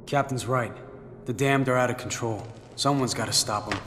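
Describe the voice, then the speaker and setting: An actor playing a dry, observant American squad soldier with terse delivery in a video game. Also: male voice, mid-range voice, moderate pace, noisy background, natural voice. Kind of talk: dramatic video game acting. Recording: long reverb, loud music behind.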